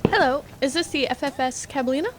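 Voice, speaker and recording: A woman's voice: high voice, fast talker, background noise, lightly acted. A woman playing a suspicious, blunt young female client of a space crew in a comedic audio drama. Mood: wary. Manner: blunt, matter-of-fact.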